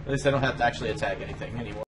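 A man's voice: mid-range voice, rapid-fire, distant mic, music in the background. A man giving casual commentary while playing a video game, dry and grumbling. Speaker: player streaming a game playthrough.

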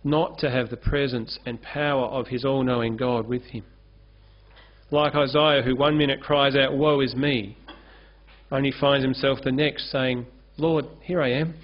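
Pastor preaching at a lectern. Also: male voice, medium pitch, steady tone, a little slow. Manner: earnest, gestures freely while preaching at a lectern. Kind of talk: sermon. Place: church stage with curtain backdrop. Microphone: lectern-mounted microphone. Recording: dull lo-fi sermon recording.

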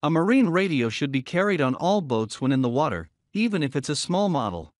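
A man's voice: a little high, sing-song, fairly quick, dry recording, clean studio sound. A man reading a scripted voice-over, working through a list of numbered points. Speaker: narrator.

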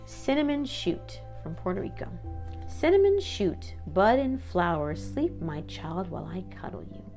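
Woman, children's read-aloud, gravelly creak, expressive sing-song delivery, informal asides, background music. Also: mid-range voice, a little slow. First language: English.

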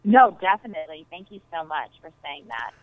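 A woman's voice: sits low, big pitch swings, a little fast, no echo. A woman speaking as a client in a casual, polite phone conversation, heard through a narrow telephone line. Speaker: client planning a wedding. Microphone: phone call.

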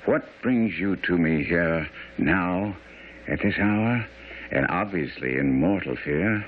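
An actor playing a shrewd, unflappable gentleman detective in a radio drama, courteous, probing and dryly cool. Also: deep voice, animated, takes it slowly, phone-quality sound. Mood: probing, thoughtful. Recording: thin, noisy old radio broadcast.

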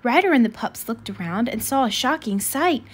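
A woman reading a children's storybook aloud in an expressive style. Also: fairly high pitch, normal speed, husky. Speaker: children's book reader.

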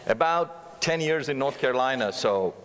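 A middle-aged man from India with an Indian accent, preaching as a guest missionary speaker in a church, fast-talking and earnest with touches of humor. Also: medium pitch, animated, a bit bright. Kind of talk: sermon. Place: church stage, large sanctuary. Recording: long reverb of a church hall.

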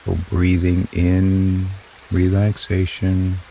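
Slow, deep-voiced male meditation guide. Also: monotone, warm tone, a little hiss or hum, rough recording. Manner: slow, soothing, drawn-out phrases.

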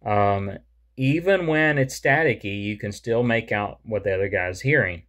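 A man's voice: fairly low pitch, moderate pace, a bit bright. A man, talking casually to a camera close up in a small room at home, rambling with lots of fillers and restarts. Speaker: holster maker and radio hobbyist. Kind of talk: casual talking-head explanation. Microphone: camera close at face level.

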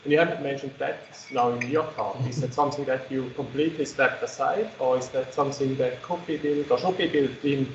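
A man in the audience asking a measured, precise technical question. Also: medium pitch, fairly even intonation, reverberant, muffled recording, slight background noise.

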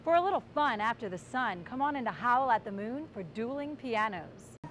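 A woman's voice: fairly high pitch, animated, moderate pace, a bit bright, a little hiss or hum, poor audio. A young adult woman working as a TV reporter and host, reading polished scripted broadcast narration in a projected, presentational way.

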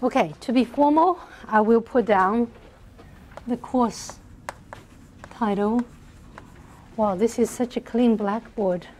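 A soft-spoken middle-aged woman lecturing on math in a large hall, often checking in with her class. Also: fairly high pitch, slow, pauses often, slight background noise. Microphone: lapel mic.

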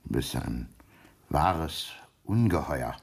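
An actor playing a stern, indignant old father-in-law in a radio play, grave and halting, with a gravelly voice. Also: a bass voice, lively intonation, exaggerated voice. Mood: shocked, reproachful. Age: older.

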